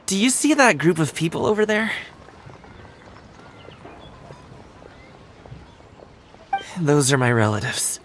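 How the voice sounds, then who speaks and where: A woman voicing a friendly, chatty young guide in an animated video game, speaking casually and apologetically. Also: low-pitched, a little fast, a little hiss or hum. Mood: flustered. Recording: music behind the voice.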